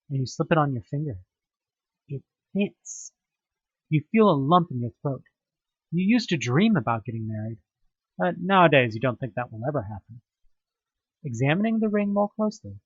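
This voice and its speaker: Expressive, high-pitched man narrating horror. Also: slightly rough, no echo, dull audio. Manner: theatrical, expressive delivery. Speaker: narrator of an audio drama. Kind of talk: dramatic second-person scripted narration of a horror story.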